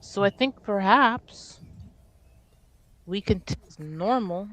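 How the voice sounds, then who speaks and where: Woman, tabletop roleplayer. Unhurried, very animated delivery, halting and giggly. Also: medium pitch, slight background noise. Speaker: player in a tabletop roleplaying game. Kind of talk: improvised in-character roleplay and narration.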